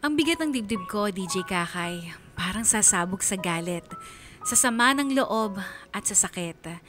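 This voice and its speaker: An actress voicing a hurt young daughter with a Filipino accent, confiding in emotional first-person radio drama narration. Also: female voice, mid-range voice, animated, measured pace, music in the background, natural voice. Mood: hurt, angry. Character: heartbroken young daughter confessing anger and hurt.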